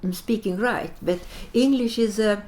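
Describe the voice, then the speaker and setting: An older woman from Sweden, a native Latvian speaker, answering interview questions reflectively in halting English as she searches for words. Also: medium pitch, a little mellow. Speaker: interview guest.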